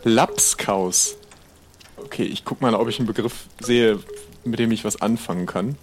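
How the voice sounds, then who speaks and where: A man's voice: fairly low pitch, moderate pace. A man speaking casually and politely, a little tentative, as a player improvising in a role-play.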